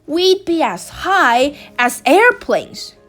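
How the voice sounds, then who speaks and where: A woman reads a picture book aloud, gently and expressively. Her voice is high and lively, her pace unhurried, and soft music plays beneath her. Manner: slow, expressive, gentle reading. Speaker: storybook reader.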